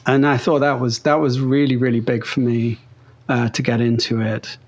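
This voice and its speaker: A man's voice: mid-range voice, flat delivery, moderate pace, lo-fi sound. A man with a mixed British and Australian-sounding accent, a guest talking casually in an interview, rambling with many fillers and restarts. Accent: neutral British-Australian blend. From England. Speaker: guest pedal builder.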